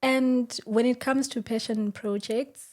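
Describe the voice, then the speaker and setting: A woman's voice: a little high, steady tone, slow. A woman hosting a casual podcast, asking questions and affirming her guest. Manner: warm affirming listener, asks questions. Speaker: podcast host. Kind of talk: casual interview chat.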